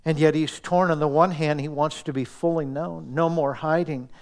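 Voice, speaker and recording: A man preaching a sermon in an earnest, intimate way, dropping to soft, near-whispered asides. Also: a little high, fairly even intonation, moderate pace. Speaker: pastor.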